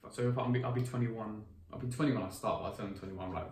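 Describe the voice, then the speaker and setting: A man's voice: on the low side, fairly even intonation, very fast, darkish tone, slight background noise. A man of twenty, co-hosting a podcast in casual chat, rambling with many restarts and fillers. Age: 20s. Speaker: podcast co-host. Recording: echoey room, mic at a distance, faint ambience.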